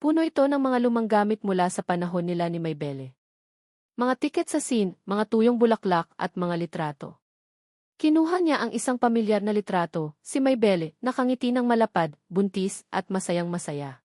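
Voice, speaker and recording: A woman's voice: medium pitch, lively intonation, moderate pace, darkish tone, no room sound. Woman narrating a drama, dry close sound. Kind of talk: dramatic scripted story narration.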